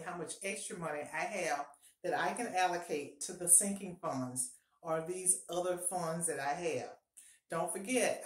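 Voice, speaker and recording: A middle-aged woman giving upbeat, encouraging budgeting advice to camera in a casual home vlog, often repeating her key points. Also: fairly low pitch. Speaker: personal finance vlogger. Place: small home bathroom, indoors. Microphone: fixed camera at close range. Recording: echoey small bathroom.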